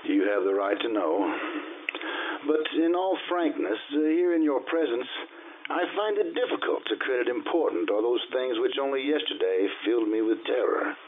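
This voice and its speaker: A man playing a nervous, ailing gentleman in old-time radio drama, with a fervent, halting, rambling manner. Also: mid-range voice, expressive, lightly acted. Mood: agitated, confiding. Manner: fervent, rambling, halting speech with sighing exclamations. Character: nervous, haunted, ailing gentleman, feverishly excitable. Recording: tinny narrow-band radio recording, faint hiss.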